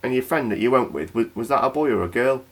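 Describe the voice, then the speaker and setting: A man's voice: mid-range voice, expressive, fast talker, lightly acted. An actor playing a chatty, wry male local radio night host. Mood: curious, chatty.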